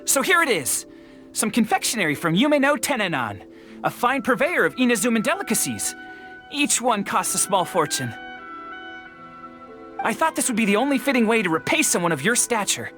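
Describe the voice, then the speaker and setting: A high-energy male merchant, grateful and effusive, talking eagerly. His pitch leaps and swoops, with faint music underneath. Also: very animated, moderate pace, pushed and bright, heavily acted. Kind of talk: game dialogue.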